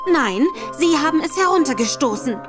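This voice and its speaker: A woman's voice: high voice, expressive, moderate pace, over music. An actress playing a sly, polite young woman with a coy manner in an audio drama. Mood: alarmed. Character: coy, sly young woman feigning innocent servant-girl respectability.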